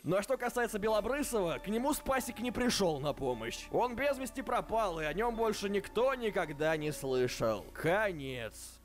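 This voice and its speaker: Higher-voiced young man, ironic narration. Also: sing-song, moderate pace, hardly any pauses, faint music behind. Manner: ironic, slangy. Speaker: video reviewer. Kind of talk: scripted comedic review narration.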